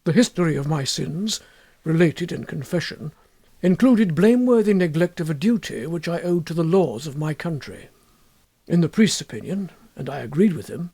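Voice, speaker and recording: Husky, expressive male audiobook narrator. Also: a little high. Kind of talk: expressive scripted first-person story narration.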